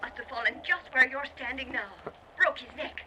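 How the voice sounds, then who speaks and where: An actress playing a chatty, eavesdropping telephone operator in an old-time radio drama. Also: female voice, high-pitched, normal speed, close to an everyday voice. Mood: gossipy. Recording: noisy old radio recording.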